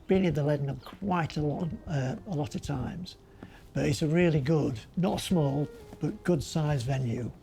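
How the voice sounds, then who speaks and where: A man's voice: a little high, moderate pace, vocal fry, mellow. A man, a band's drummer, speaking casually in a documentary interview. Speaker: band drummer. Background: music bed.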